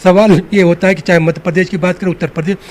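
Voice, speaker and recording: A man's voice: sits high, steady tone, very fast, darkish tone. A man reporting from the field for TV news and putting wordy questions to a guest. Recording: clearly noisy location sound.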